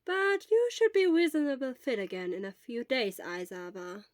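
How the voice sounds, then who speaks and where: Woman playing a kindly, stern school nurse, high-pitched. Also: a little mellow, no echo, studio quality, close to an everyday voice. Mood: gently explaining. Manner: measured, firm, explanatory. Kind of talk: acted audiobook dialogue.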